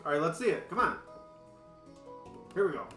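A man's voice: fairly high pitch, very animated, moderate pace, pushed and bright, roomy sound, soft background music. A man in his thirties, a father co-hosting his child's gaming video, making playful teasing dad jokes in casual chat at home. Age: 30s. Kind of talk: casual chat while watching gameplay. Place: home room, indoors.

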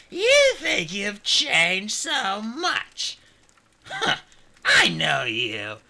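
A man's voice: very high-pitched, gravelly, bright, forceful tone, pitched above the performer's own voice, exaggerated voice. Man putting on a hissing villainous dragon queen. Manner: hissing, snarling, mocking. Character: cruel scheming female dragon queen, imperious.

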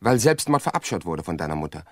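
Insistent low-voiced widower. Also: male voice, big pitch swings, fast, no room sound, clean studio sound. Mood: insistent, accusing. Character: insistent grieving widower pressing his sister-in-law for the truth. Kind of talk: dramatic radio play acting.

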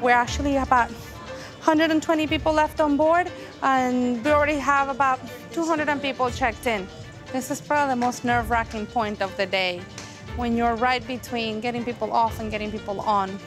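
A woman's voice: on the high side, steady tone, normal speed. A woman working as a cruise staff supervisor, talking and explaining on the job. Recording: location sound with music underneath. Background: music.

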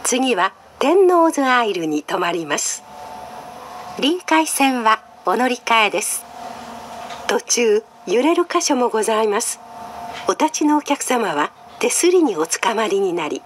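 A woman's voice: fairly high pitch, big pitch swings, unhurried. A woman reading scripted train announcements in a polite, formal, carefully enunciated way, played over a monorail car's speakers. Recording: train cabin noise behind announcement.

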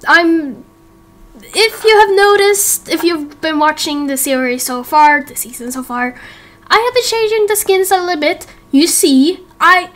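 A woman's voice: very high voice, expressive, measured pace, fairly bright tone. A young woman in her late teens giving a casual, rambling update to viewers as a series host, in a giggly, self-interrupting way. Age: late teens, young adult. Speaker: YouTube roleplay series creator and host.

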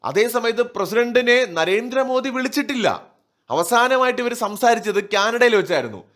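A man's voice: extremely high pitch, expressive, moderate pace, a bit bright. A man giving opinionated political commentary in an emphatic, rhetorical manner. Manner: emphatic, rhetorical, asking and answering own questions. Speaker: online news commentator.

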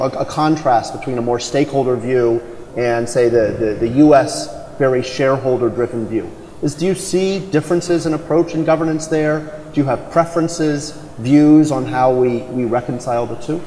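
A man's voice: medium pitch, moderate pace, warm tone, in a large hall, a little hiss or hum, poor audio. A man moderating a business conference panel, probing in a conversational way. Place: large conference hall stage.

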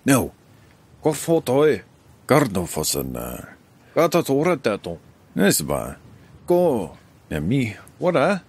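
An actor playing a wary nomad man who speaks a strange made-up language, with a halting, hesitant delivery. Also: mid-range voice, huge pitch swings, a little mellow, breathy edge, heavily acted. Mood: wary, questioning. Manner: halting foreign-sounding gibberish, hesitant.

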